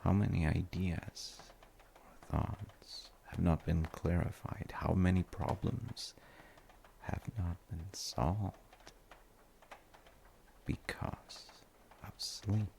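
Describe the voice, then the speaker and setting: A man playing a gentle, reflective dad in an intimate audio roleplay, musing softly and reassuringly to a tired child with thoughtful pauses. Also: steady tone, slight background noise, exaggerated voice. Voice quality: gravelly, creaky low voice. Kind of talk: soft intimate sleep-aid audio roleplay.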